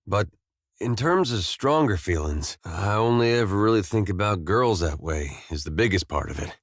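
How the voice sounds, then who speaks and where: A deep male voice, recorded very dry, a farmer turned adventurer in a video game. He is gentle and loyal, with some weariness of the world in him. He talks plainly and folksily, understating things with hesitant, dry humor. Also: animated, moderate pace, no room sound. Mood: awkward, fond. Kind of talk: video game companion dialogue.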